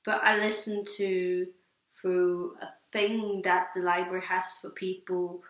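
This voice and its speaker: A woman's voice: fairly low pitch, flat delivery, slow. A young woman from Sweden, a non-native English speaker, chatting casually about her opinions in a hesitant, rambling, repetitive way. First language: Swedish. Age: teens to young adult. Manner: hesitant, rambling, repeats herself. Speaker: book reviewer. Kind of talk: casual vlog chat. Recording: echoey, roomy sound.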